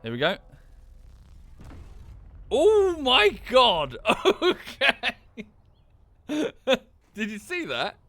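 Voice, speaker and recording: A man streaming a space building game, chatting casually with friends and getting loudly excited when things blow up. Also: very high voice, very slow, long pauses, dry recording. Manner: excitable, swears and laughs at explosions. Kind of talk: casual gaming chat with friends.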